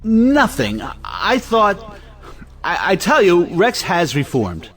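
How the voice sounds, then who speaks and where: A man's voice: medium pitch, lively intonation, moderate pace, faint music behind, natural voice. A man acting a domineering, exasperated, wealthy older brother in a theatrical audio play. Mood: defensive, flustered.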